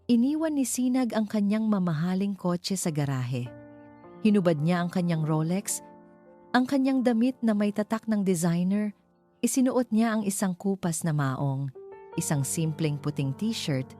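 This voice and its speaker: Low, mellow Filipino woman narrating over music. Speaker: story narrator. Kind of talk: scripted dramatic storytelling narration. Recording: music behind the voice. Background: clear background music.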